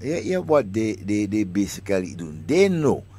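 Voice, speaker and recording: A man, co-hosting a talk radio show and jumping in with quick, animated remarks and restarts. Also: lowish voice, slightly rough. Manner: animated, interjecting, stumbling restarts. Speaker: radio co-host.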